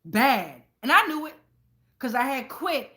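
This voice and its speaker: A woman with a somewhat high, sharp-edged, very bright voice hosts a casual tarot reading. She speaks bluntly and swears freely. She keeps checking in with her viewers as she slips between the cards and personal anecdotes. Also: sing-song, unhurried. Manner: conversational, addresses viewers directly with frequent check-ins. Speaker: tarot reader and channel host.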